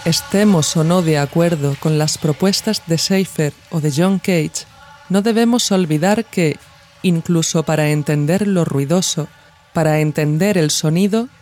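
A woman from narrates a scripted, reflective audio essay in a measured, formal way, as a podcast host. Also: low-pitched, a little mellow, faint music behind.

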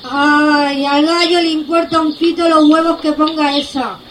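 A woman's voice: sits high, fairly even intonation, a little slow, fairly bright tone, slight background noise, poor audio. A woman playing a sceptical, grumbling farmyard hen in a comic radio play. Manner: dismissive, colloquial. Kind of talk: comic radio theatre acting.

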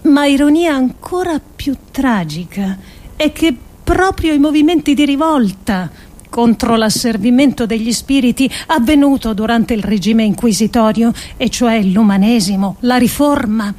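A woman reading a scripted dramatic monologue for radio in a deliberate, rhetorical manner, with emphatic pauses. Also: fairly high pitch, animated, a little mellow. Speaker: actress reading an adapted essay on radio.

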